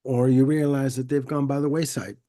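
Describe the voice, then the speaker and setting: A man's voice: mid-range voice, flat delivery, fairly quick, mellow. A man with a head cold hosting a casual music chat, sounding congested, laid-back and given to repeating himself. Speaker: podcast host.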